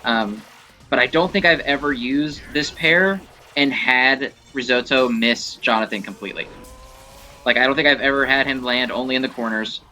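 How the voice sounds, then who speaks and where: A man giving casual gameplay commentary, thinking aloud in a halting, self-correcting way as he explains things. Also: mid-range voice, bright, forceful tone. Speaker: gaming video host. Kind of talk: casual rambling game commentary.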